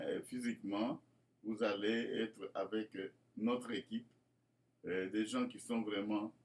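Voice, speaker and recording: A man's voice: lowish voice, slight background noise. A man from Congo presenting his school project in a formal, churchly way, with many fillers and repeated words. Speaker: school founder addressing inspectors. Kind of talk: hesitant spoken presentation.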